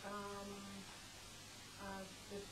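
A woman's voice: medium pitch, completely flat delivery, extremely slow. A woman in an audience giving her opinions casually at a live event. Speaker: audience member. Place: audience seats in arts centre. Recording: distant, echoey, noisy hall recording.

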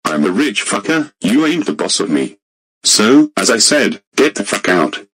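A man's voice: lowish voice, big pitch swings, a little slow, husky, no room sound, heavily acted. A man playing a swearing, furious rich boss. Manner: swearing, shouting, indignant. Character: hot-tempered rich male boss, Doctor Pickle. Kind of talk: amateur voice acting.

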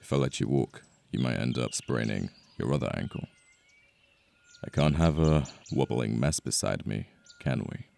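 A man acting a possessive yet protective mafia boss in an ASMR romance roleplay, with a British accent, a deep gravelly voice and an intimate manner full of sighs and pauses. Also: warm tone, slight background noise, exaggerated voice. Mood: concerned, coaxing. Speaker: voice actor. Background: birdsong, outdoor ambience effects.

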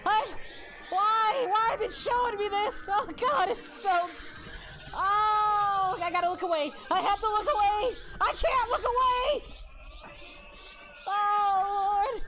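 A man playing an excitable, lecherous, cheerful anime warrior in a parody, hosting a casual reaction video in character. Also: very high voice, slow, very noisy. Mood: flustered, excited. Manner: excitable, bawdy, exclaiming.

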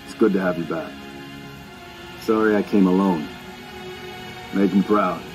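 A man's voice: sits low, steady tone, measured pace. A man voicing a stoic, terse armored super-soldier in a video game. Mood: solemn. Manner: terse, restrained. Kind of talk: video game cutscene acting. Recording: loud music behind.

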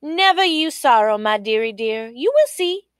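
Lady doing a sweet high young queen, coaxing and soft-hearted, bright and lilting. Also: expressive, normal speed, clear, resonant tone, no room sound, studio quality, close to an everyday voice. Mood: soothing.

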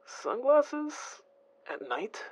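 A man's voice: very high-pitched, very animated, extremely slow, lo-fi sound, exaggerated voice. A man playing a polite, friendly former agent on night shift in an audio drama, respectful and a little hesitant. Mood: friendly, concerned. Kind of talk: naturalistic audio drama dialogue.

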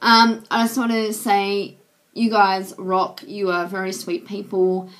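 A young woman with a hoarse voice from a cold chats casually to her viewers. She talks softly, filling pauses with ums. She is close to a webcam in a small room. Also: mid-range voice, flat delivery. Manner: soft, chatty, with many ums. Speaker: YouTuber. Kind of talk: casual vlog chat to camera. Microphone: webcam at close range.

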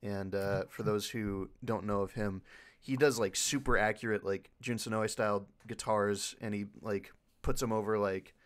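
Man, low voice, casual panel talk, rambling, enthusiastic. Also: steady tone, moderate pace, smooth. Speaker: panelist, video editor for a fan dub group.

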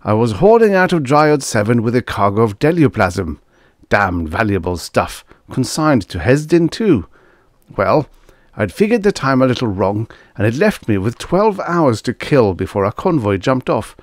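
Man doing a blunt, animated space trucker. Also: mid-range voice, moderate pace, no room sound, studio quality, voice pushed up, natural voice. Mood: complaining. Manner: colloquial, blunt. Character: blunt, grumbling male space trucker, hungry and forward. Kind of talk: dramatised science fiction storytelling.

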